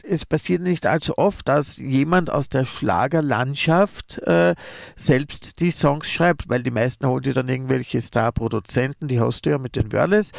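Guy interviewer w gravelly fairly high voice, trips over his words a lot, dry sound. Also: dry recording. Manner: warm praise, stammering restarts. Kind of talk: casual interview talk.

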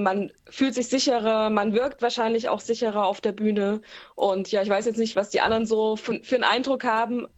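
A woman who writes and sings her own songs talks through an interview in her native German, calm and thoughtful, pausing often on small fillers. Her voice sits at an ordinary middle pitch. She is heard over a remote call line. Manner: relaxed, thoughtful, many fillers. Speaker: singer-songwriter guest. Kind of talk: casual interview talk.

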